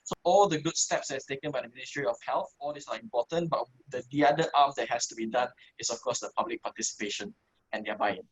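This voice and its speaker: A man from Malaysia explaining things as a webinar panelist in a halting way, speaking from a room on a video call. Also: mid-range voice, husky. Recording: roomy, dull video call.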